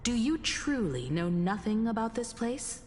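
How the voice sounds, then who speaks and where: A woman voicing a composed, probing adventurer in a video game, speaking formally and deliberately, with long reverb on the voice. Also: fairly high pitch, sing-song, darkish tone, faint music behind. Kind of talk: dramatic video game voice acting.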